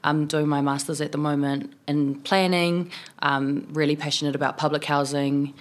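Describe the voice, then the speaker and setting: A woman's voice: low voice, level tone, moderate pace. A woman in her twenties from New Zealand with a New Zealand accent, a city councillor chatting casually in a podcast interview, full of "um" and "like". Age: 20s. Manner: chatty, many fillers like "um" and "like".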